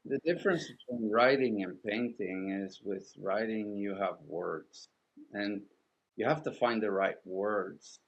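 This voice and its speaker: A middle-aged man from Sweden, whose first language is Swedish, speaking as an artist and author in an interview, thoughtful and halting with many restarts. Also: lowish voice, slightly rough, muffled recording. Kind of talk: reflective interview conversation.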